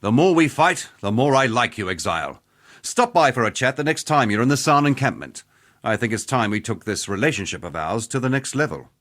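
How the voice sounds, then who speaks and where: A man's voice: mid-range voice, big pitch swings, fairly quick, fairly bright tone. A man playing a cocky, playful veteran fighter in a video game, jaunty and teasing, with relish for a brawl. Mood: jovial, cocky. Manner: jaunty, teasing, colloquial swagger. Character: cocky, playful veteran male arena fighter, jovially bloodthirsty. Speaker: video game character voice actor. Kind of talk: scripted game character dialogue.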